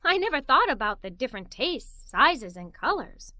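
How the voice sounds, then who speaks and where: A woman narrating a children's story aloud in an expressive, playful way. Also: high-pitched, moderate pace, dry recording, clean studio sound.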